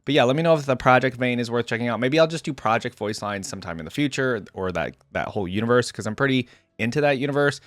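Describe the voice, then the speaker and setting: A man's voice: mid-range voice, lively intonation, fast talker, hardly any pauses, fairly bright tone, no room sound. A man hosting a reaction video, giving casual, musing commentary on game voice lines in a conversational way.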